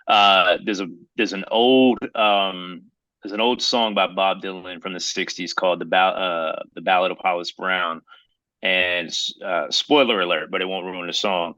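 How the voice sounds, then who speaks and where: An American man in casual podcast conversation, animated and slangy, punctuating his points like he is talking to a buddy. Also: low-pitched, measured pace, dry recording, poor audio. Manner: animated slangy talk, often saying "bro". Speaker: podcast co-host.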